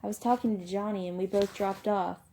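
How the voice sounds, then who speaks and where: A woman's voice: mid-range voice, fairly even intonation, fairly quick, dark tone, close to an everyday voice. A woman voicing a sensitive teenage boy, a younger brother who is pleading and defensive.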